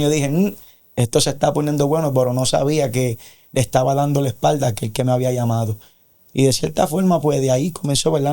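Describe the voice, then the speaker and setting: A man with a creaky, warm, dark-toned voice at a middling pitch tells his faith testimony as a guest. His delivery is earnest and somewhat preacherly. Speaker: interview guest. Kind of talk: personal religious testimony.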